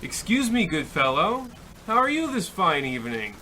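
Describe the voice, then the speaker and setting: A man's voice: on the high side, sing-song, moderate pace, sharp tone, a little hiss or hum. A man playing a sly devil posing as a traveling salesman, theatrical and oily in a comic radio play. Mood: oily charm. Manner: theatrical, oily, over-polite. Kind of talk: comic old-time radio play acting.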